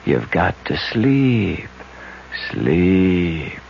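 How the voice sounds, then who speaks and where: An actor playing a smooth-talking young amateur hypnotist in a 1970s radio drama, coaxing and hypnotic. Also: male voice, low-pitched, big pitch swings, drawn-out words, full character voice. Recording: dull old radio broadcast, faint hiss.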